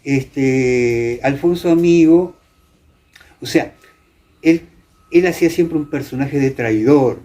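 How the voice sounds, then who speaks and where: Older Argentine man with a dark voice. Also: mid-range voice. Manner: halting, repeats words and phrases. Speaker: interviewee, veteran radio drama actor. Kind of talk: casual reminiscing conversation.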